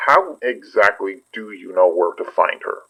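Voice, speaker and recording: An actor playing a relaxed, protective young boyfriend in a horror audio drama, talking in a playful, reassuring way. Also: male voice, on the low side, moderate pace, slight background noise. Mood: earnest. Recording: slightly muffled sound.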